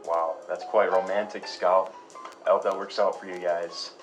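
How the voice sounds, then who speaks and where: A man's voice: deep voice, level tone, normal speed, soft background music, lightly acted. A man playing a polite, curious radio show host in an acted interview, conversational and prompting. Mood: curious, probing.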